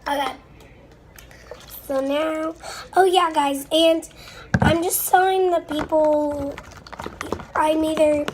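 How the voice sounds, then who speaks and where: A young girl with a stuffed-up nose, chatting casually and a bit apologetically to viewers as she crafts at home, rambling as she goes. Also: sits high. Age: child. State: stuffed-up and under the weather. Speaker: slime video maker. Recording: noisy amateur recording with clicks.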